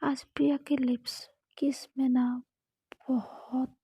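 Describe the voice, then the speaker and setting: A woman narrating a romantic story in a steady, close whisper. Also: high voice, slow pace, slightly rough, dry recording. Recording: dull lo-fi recording.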